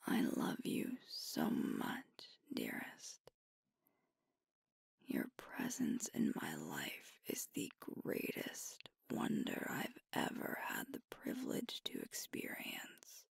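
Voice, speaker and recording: A woman voicing a sweet, devoted werewolf girlfriend in an ASMR roleplay, whispering tenderly and unhurriedly with a breathy voice close to the mic. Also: extremely low pitch, huge pitch swings, no room sound, full character voice. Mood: loving. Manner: tender, earnest, soothing, unhurried. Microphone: close microphone, dry.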